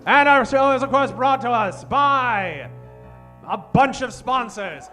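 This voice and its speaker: Very high-voiced, theatrical male announcer. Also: lively intonation, slow pace, pushed and bright. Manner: mock-formal, theatrical. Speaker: opening announcer introducing the host. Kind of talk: old-time radio stage announcing. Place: theater stage, before an audience. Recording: live stage show with music behind. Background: music.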